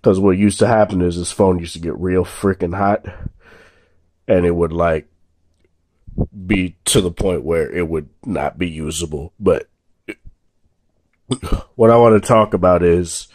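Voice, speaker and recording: Young male tech vlogger, very low voice, slightly sore, casual and rambling, dry room. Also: a little slow, pauses often, no room sound. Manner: relaxed, rambling, many fillers and restarts. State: slightly sore voice after illness. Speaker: tech reviewer. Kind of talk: casual hands-on phone review. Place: indoors at a table, off camera.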